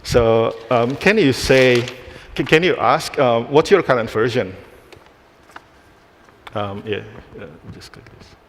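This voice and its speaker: A man's voice: mid-range voice, expressive, slight background noise. A man from Indonesia giving a live technical presentation on a conference stage, restarting phrases as he works through a demo. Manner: halting, restarts phrases while demoing. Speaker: conference speaker. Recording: hall reverb.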